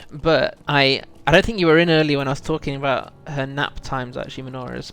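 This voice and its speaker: A man's voice: medium pitch, vocal fry, a bit bright. A man chatting casually as he hosts a game livestream, rambling and thinking aloud to his chat while a bit under the weather with a cold. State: feels full of cold, often clearing throat.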